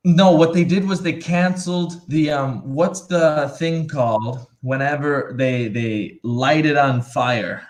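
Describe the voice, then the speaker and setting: Jokey man from Buffalo, unhurried. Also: fairly high pitch. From Buffalo, New York. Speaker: co-host. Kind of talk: casual live-stream chat.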